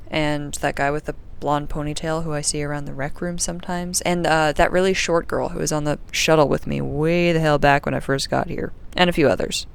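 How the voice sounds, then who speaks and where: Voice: medium pitch, gravelly, clear, resonant tone, lightly acted. An actor playing a sardonic, uneasy young crew member recording an audio diary in a casual, rambling, sarcastic way. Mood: uneasy, subdued. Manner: wry, sarcastic, informal, rambling. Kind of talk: audio drama diary monologue, casual and conversational.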